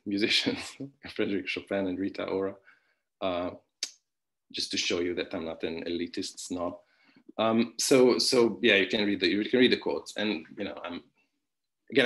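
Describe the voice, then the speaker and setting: A man's voice: deep voice, fairly bright tone. A man whose first language is Hungarian, giving an online philosophy conference talk with many restarts, fillers and wry asides. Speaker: philosophy lecturer.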